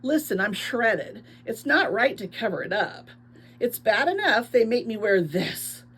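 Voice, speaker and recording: A woman reading aloud as a big, affectionate, blunt young woman demigod who is loud and unabashed. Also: on the high side, sing-song, measured pace, slightly rough, full character voice. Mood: indignant, loud. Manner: loud, unabashed, blurts things out.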